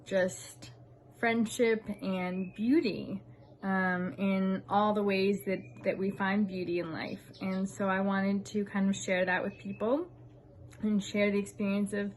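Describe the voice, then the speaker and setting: A woman's voice: mid-range voice, measured pace, a little hiss or hum. American woman vlogging on a veranda. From United States. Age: 30s. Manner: frequent ums, relaxed and earnest. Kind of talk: casual chat to camera. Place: outdoor veranda of a house.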